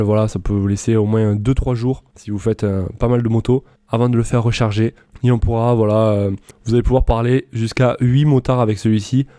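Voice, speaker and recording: Man presenting products, bright close dry voice. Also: medium pitch, no echo. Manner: informal, loosely improvised explaining, frequent "voilà". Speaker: presenter of product comparison videos. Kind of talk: casual product review voice-over.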